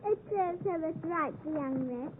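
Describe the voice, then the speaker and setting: A woman's voice: sits high, normal speed, lightly acted. A woman playing a haughty, spiteful young girl, disdainful and clipped, in a 1950s radio drama. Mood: haughty, provoking. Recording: muffled, faintly noisy 1950s radio recording.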